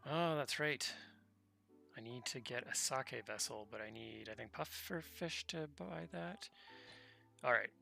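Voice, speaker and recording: A man's voice: medium pitch, unhurried, gravelly, bright, forceful tone. A man doing casual, easygoing video game commentary, thinking aloud as he plays. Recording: game music behind voice. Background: video game music.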